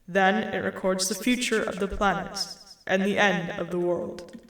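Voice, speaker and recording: A woman voicing a calm, almighty disembodied male creator in a story, solemn, measured and patient, with an echo effect. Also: medium pitch. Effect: reverberant echo on the voice. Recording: echoing reverberant sound.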